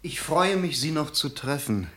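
An actor playing a cynical newspaper reporter, speaking in an eloquent, measured, persuasive way in a 1970s German radio play. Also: male voice, on the high side, expressive, music in the background, lightly acted. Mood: suave, persuasive. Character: smooth, cynical newspaper reporter coaching a politician.

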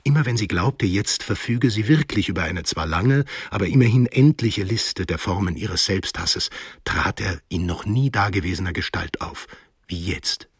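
A man's voice: sits low, normal speed, slightly rough, no room sound, clean studio sound. A man reading scripted literary narration as the narrator of a radio play.

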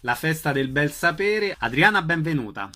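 Bright-voiced man interviewing, fairly high. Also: expressive, moderate pace. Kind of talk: interview questions.

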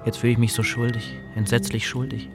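An actor in a German radio drama playing an excitable, guilt-ridden fire-setter. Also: male voice, mid-range voice, fast talker, over loud music, close to an everyday voice.

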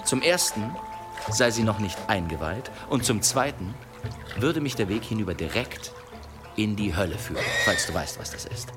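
Music behind, male actor as courteous wandering storyteller, animated melody, unhurried, polite, radio drama. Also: fairly low pitch, close to an everyday voice. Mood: courteous, earnest. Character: courteous male traveller telling a sly tale. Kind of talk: German radio play acting. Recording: music under the voice.

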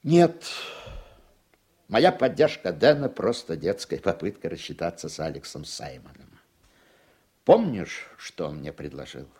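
Middle-aged judge, raspy voice goes up and down a lot, wry brooding radio play style. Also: male voice, low-pitched, very animated, measured pace, darkish tone, heavily acted. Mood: sardonic. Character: troubled, wry judge talking privately with his wife. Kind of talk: Russian radio play acting.

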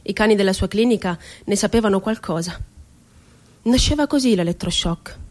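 An actress playing a bitterly ironic psychiatric inmate in a radio theatre monologue, telling her story in a deliberate, dramatic way. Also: medium pitch, a little mellow, close to an everyday voice. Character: woman psychiatric inmate recounting asylum horrors, bitterly ironic. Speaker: stage actress.

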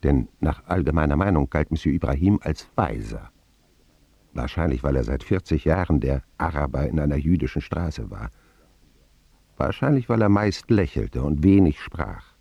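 An actor playing a man who recounts his boyhood wryly and candidly in a radio drama, with dramatic phrasing and deliberate pauses. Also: very deep voice, lively intonation, gravelly, warm tone, husky, no room sound, muffled recording, heavily acted. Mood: reflective. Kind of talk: scripted first-person radio drama narration.

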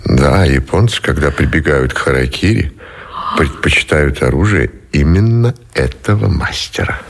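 An actor playing a weary, brooding admiral and supreme commander in a radio drama, speaking deliberately with heavy pauses. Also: male voice, extremely low pitch, animated, vocal fry, noisy background, heavily acted. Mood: grave, reflective.

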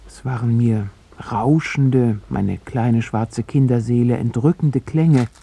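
A man's voice: mid-range voice, takes it slowly, vocal fry, dark tone, slightly rough. A man from Poland reciting a literary, wistful account of his childhood in a solemn, poetic manner. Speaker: narrator recalling childhood.

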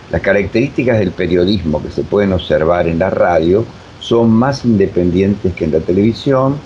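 A man in his seventies from Uruguay with a Rioplatense accent, reminiscing in a rambling, digressive interview style. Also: mellow. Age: 70s. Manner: rambling, digressive, many filler phrases. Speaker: interview guest, voice technique teacher. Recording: dull, rumbly, faintly noisy recording.

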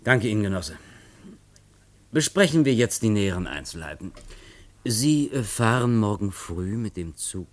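An actor playing a stern, calculating revolutionary commissioner in a radio play, speaking in a deliberate, declamatory way with pauses. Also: male voice, lowish voice, lively intonation, natural voice. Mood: businesslike, conspiratorial. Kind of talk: German radio play acting.

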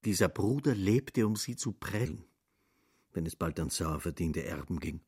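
A man with a husky voice narrating a literary radio play. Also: deep voice, expressive, moderate pace, darkish tone, full character voice.

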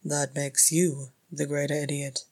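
A woman narrator voicing a weary, stubborn male dwarf king, sullen and croaky, in a storybook. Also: fairly high pitch, little pitch movement, measured pace, a little mellow. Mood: gloomy, sullen. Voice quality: croaky, mumbling.

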